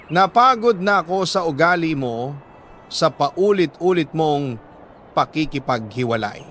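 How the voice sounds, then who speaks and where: Man, Filipino accent, fairly high voice, dramatic storyteller, sorrowful phrasing, deliberate pauses, some background noise. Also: a bit bright. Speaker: radio story narrator reading a listener's letter. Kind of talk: dramatic first-person story narration.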